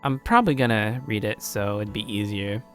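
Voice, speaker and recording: Male streamer, informal and stumbly, reading a visual novel aloud with soft music underneath. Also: on the low side, moderate pace. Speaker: gaming streamer.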